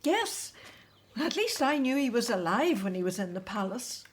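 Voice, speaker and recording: A woman's voice: on the high side, big pitch swings, moderate pace. An actress playing an anxious, devout and tender elderly mother in a biblical radio drama. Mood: distraught.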